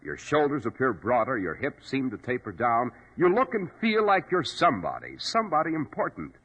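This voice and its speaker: Animated, husky American radio announcer, man. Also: medium pitch, moderate pace. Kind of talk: 1940s radio announcing and sponsor pitch. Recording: dull old 1940s radio recording.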